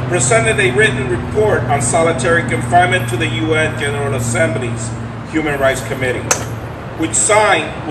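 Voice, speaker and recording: A man reading scripted narration in a formal, report-like manner. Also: fairly high pitch. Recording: distant echoey room, loud background noise.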